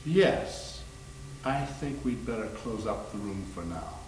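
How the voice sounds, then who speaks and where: A man's voice: lowish voice, animated, slow, darkish tone. Man for staged story reading, mid-range voice, roomy distant sound with faint noise. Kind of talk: dramatic readers theater reading.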